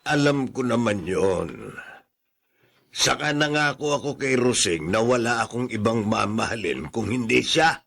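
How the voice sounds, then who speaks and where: An easygoing old widower for radio drama, super gravelly, slow and husky. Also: male voice, medium pitch, expressive, a bit bright, full character voice. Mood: calm, earnest. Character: easygoing older widower, loyal and teasing.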